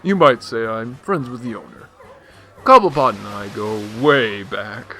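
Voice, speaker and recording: A man's voice: medium pitch, a little slow, pushed and bright, soft background music. A man playing a rich playboy who is secretly a brooding vigilante in a radio drama. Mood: flippant charm.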